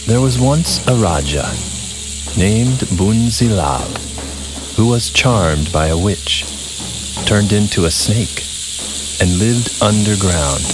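A man narrating a scripted folktale as a storyteller, deliberate and dramatic with pauses. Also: lowish voice, expressive, noisy background. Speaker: storyteller, podcast host. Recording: music behind the voice. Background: music.

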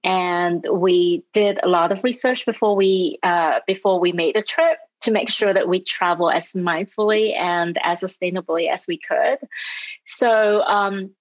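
Guest: woman from Hong Kong, measured and reflective, dry muffled recording. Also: on the low side, dry recording. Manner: measured, thoughtful, light laughs. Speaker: guest, educator. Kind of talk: interview conversation. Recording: dull muffled sound, dry.

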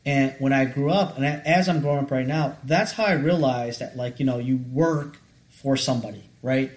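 A man's voice: medium pitch, moderate pace. A man in his late thirties, a podcast guest, explaining his ideas earnestly and often checking in with "right?" and "okay". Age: 30s. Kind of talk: casual chat.

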